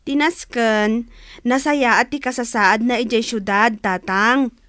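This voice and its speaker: An actress with an Ilocano accent playing a dutiful, reassuring young daughter in a radio drama. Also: female voice, on the high side, steady tone, unhurried, a bit bright.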